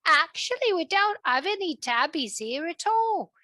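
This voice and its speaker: Woman acting storybook servant woman, high lifted voice, plain informative manner, lively intonation. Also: unhurried, no room sound, clean studio sound, exaggerated voice. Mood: matter-of-fact. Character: matter-of-fact cook's helper, a castle servant woman.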